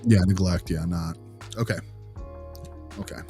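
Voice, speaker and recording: A man acts out an inquisitive male consultant with a fairly low voice. He probes in loose, colloquial language and repeats himself, as in an improvised conversation. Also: measured pace, mellow, soft background music. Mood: probing. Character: inquisitive, informal male behavior consultant probing a case. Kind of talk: improvised role-play conversation.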